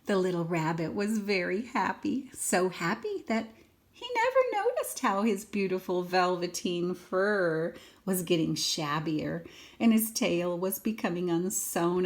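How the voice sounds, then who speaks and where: Older woman, grandmotherly storybook reader, unhurried, with gentle murmured asides to a little listener. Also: lowish voice. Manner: gentle explaining to a small child, murmured asides. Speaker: grandmother reading a picture book aloud. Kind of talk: children's story read-aloud with chatty asides.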